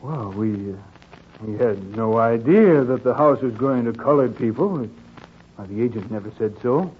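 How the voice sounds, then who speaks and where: A man's voice: medium pitch, moderate pace, close to an everyday voice. A man playing an apologetic, evasive homeowner with a hesitant, defensive manner in 1940s radio drama style. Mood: uneasy, defensive. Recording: tinny, hissy 1940s radio recording.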